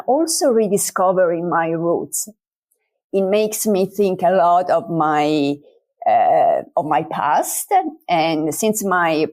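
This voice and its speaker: Slow, thoughtful woman. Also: fairly low pitch, darkish tone. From Italy. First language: Italian. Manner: thoughtful, many filler pauses. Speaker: author guest. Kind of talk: interview conversation.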